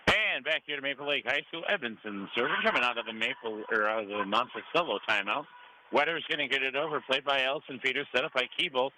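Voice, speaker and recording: A man's voice: mid-range voice, fast talker. American man calling volleyball over gym noise. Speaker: radio play-by-play announcer. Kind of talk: live volleyball play-by-play commentary. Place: high school gym during a match. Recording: clearly noisy gym ambience. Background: gym ambience and noise.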